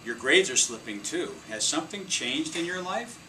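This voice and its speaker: A man's voice: fairly high pitch, lively intonation, moderate pace, pushed and bright, pitched above the performer's own voice. A man voicing a stern female schoolteacher in a storybook, with a firm, questioning manner. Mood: concerned, probing. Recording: distant mic, clear air-conditioning hum.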